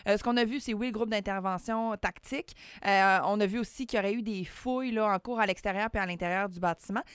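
A woman's voice: medium pitch, clear, resonant tone, dry recording, clean studio sound. Teasing Québécois woman co-host. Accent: Quebec French. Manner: teasing, quick-witted. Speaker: radio co-host. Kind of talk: casual radio banter.

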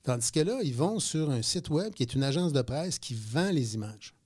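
A man's voice: mid-range voice, normal speed, husky. Quebec entrepreneur, 50s, male, chatty storyteller, stumbles and repeats words on air. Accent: Quebec French. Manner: animated, repeats words, many hesitations. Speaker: guest entrepreneur. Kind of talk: radio interview, casual storytelling.

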